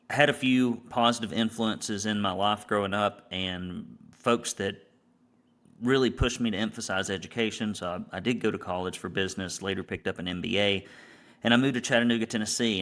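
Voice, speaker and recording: A middle-aged man with a Southern American accent and a low, deep voice. He speaks as a business coach being interviewed, in a conversational storytelling style. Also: steady tone, brisk, a bit bright. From Alabama, United States. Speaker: guest, business coach and painting academy founder.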